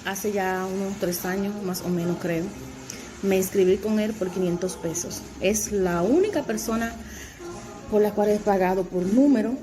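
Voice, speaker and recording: A woman with a fairly low voice giving a sincere, rambling testimonial, correcting herself as she goes. Clear music plays behind her. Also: low-quality recording.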